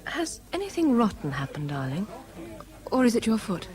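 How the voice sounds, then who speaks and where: An actress playing a gentle, patient young English woman in a British radio drama, soft, affectionate and coaxing. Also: mid-range voice, wildly swooping pitch, moderate pace. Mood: concerned, coaxing.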